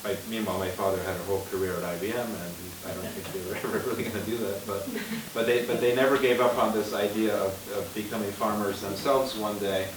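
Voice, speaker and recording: A man's voice: fairly low pitch, fairly even intonation, fast, hardly any pauses. Chatty man recounting in an echoey hall. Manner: chatty, chuckles often, many restarts. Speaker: winemaker, panel guest. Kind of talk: telling his story on a lecture panel. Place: lecture hall before an audience. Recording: echoey hall, distant mic, hiss.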